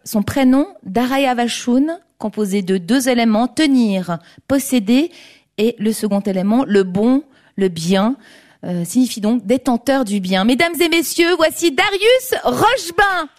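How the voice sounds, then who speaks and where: A woman hosting a studio talk and improv show, introducing performers and interviewing a guest with a lively presenter manner. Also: on the high side, a little slow. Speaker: host.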